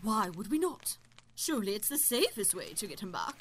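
A woman's voice: mid-range voice, sing-song, brisk, breathy edge. A woman playing an anxious, protective noble lady and mother in an audio drama, with a formal, dramatic, pleading delivery. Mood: subdued.

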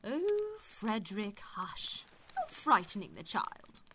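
A motherly, fussing duchess, played by an actress in an audio drama. Her fairly high voice swoops dramatically and takes its time, over faint noise. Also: wildly swooping pitch, slow pace, heavily acted. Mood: gently chiding. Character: motherly, fussing duchess who manages her household kindly.

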